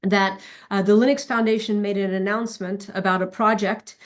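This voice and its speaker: A woman's voice: medium pitch, fairly even intonation, normal speed. Woman with a European Portuguese accent presenting. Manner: warm, fluent, switches between Portuguese and English. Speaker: keynote speaker, foundation executive. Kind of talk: online conference presentation.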